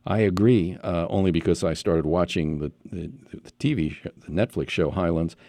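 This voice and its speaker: A man's voice: deep voice, normal speed, dark tone, dry recording. A man hosting an interview podcast on a headset mic, chatty and rambling with many follow-up questions. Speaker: podcast host. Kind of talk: casual interview chat.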